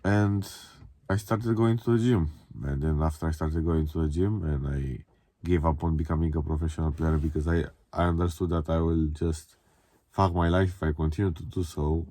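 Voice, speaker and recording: Young romanian guy, really low mellow voice, just rambling honestly to camera, sweary. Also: steady tone, normal speed, no room sound. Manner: candid, reflective, swearing. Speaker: vlogger. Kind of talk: casual confessional monologue to camera.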